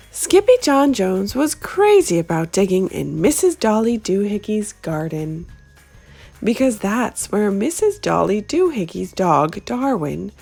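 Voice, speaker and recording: A woman reading a children's picture book aloud in an expressive, animated storytelling style. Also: medium pitch.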